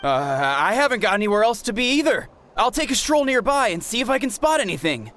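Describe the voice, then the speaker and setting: Casual young game lad, really high for a man, lively, noisy background. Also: quick pace. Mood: casual, willing. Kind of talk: video game voice acting.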